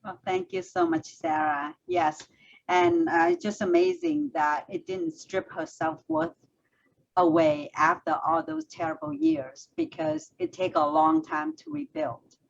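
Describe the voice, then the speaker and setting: A woman's voice: medium pitch, sing-song, measured pace, vocal fry. A woman from Vietnam, hosting a conversational interview over a video call, speaking thoughtfully with frequent fillers. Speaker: host. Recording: dull lo-fi audio with faint noise.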